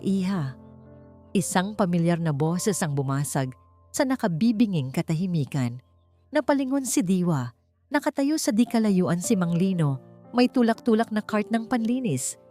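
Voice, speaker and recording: Low-voiced Filipino woman narrating over music. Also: mellow. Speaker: audiobook narrator. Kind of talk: dramatic scripted story narration. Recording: music bed under narration. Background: background music.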